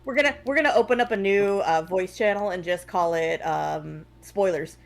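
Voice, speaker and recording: A woman's voice: lowish voice, normal speed, gravelly. A woman co-streaming in a casual voice chat.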